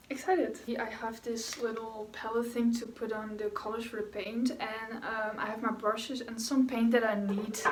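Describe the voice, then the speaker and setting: Young Dutch-accented woman, casual rambling vlogger chat, slightly high voice, distant mic in a roomy space. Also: slight background noise. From Netherlands. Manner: chatty and rambling, with fillers and self-corrections. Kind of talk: casual chat while painting.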